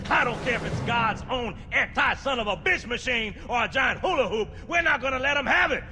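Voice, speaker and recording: High-pitched barking Marine sergeant. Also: male voice, exaggerated voice. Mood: defiant, fierce. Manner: barking drill-sergeant delivery. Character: hard-bitten male Marine sergeant, brash, rousing, profane. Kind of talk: military game cutscene acting. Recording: loud music behind.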